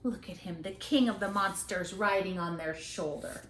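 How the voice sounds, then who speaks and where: A woman's voice: medium pitch, fairly bright tone, slight background noise. A woman reads a picture book aloud to young children expressively, with dramatic stressed words and chatty asides. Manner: dramatic, drawn-out delivery with stressed key words. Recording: echoey, roomy sound.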